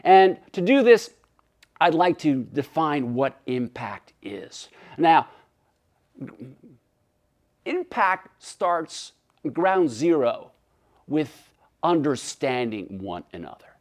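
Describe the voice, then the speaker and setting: A man from Canada whose native tongue is French gives an animated webinar keynote, often restarting phrases and acting out dialogue. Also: fairly high pitch, slow pace, long pauses. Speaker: keynote speaker. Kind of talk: webinar presentation.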